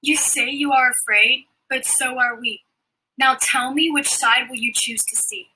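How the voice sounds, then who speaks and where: A teenage girl performs spoken word poetry with earnest delivery. Her voice is high and very bright, with a keen edge. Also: fairly even intonation, a little slow, slight background noise, rough recording. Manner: earnest recitation. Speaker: student poet.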